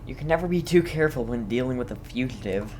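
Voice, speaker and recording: A woman's voice: a bass voice, expressive, fast, a little mellow, a little hiss or hum. A woman streaming a game casually, reading dialogue aloud and reacting in a playful, wry way. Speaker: gaming streamer.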